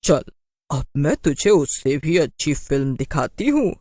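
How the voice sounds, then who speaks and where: A woman's voice: low voice, sing-song, measured pace, warm tone, no room sound, studio quality, full character voice. A woman voicing a scary, mocking witch who taunts her prey in a Hindi cartoon horror comedy. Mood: menacing, gleeful. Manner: cackling, taunting.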